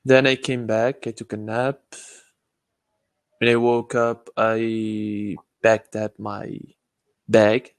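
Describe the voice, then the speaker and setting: A man's voice: fairly low pitch, flat delivery, takes it slowly, smooth, no echo. A man from Morocco with a Moroccan accent, chatting casually in English as a learner, a little hesitant as he searches for words. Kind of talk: casual chat.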